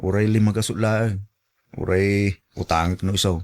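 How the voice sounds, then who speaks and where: A man's voice: lowish voice, fairly even intonation, moderate pace, lightly acted. A man playing a demanding adult son who wheedles his mother in a radio drama. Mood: wheedling, impatient. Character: jobless adult son nagging mother for money.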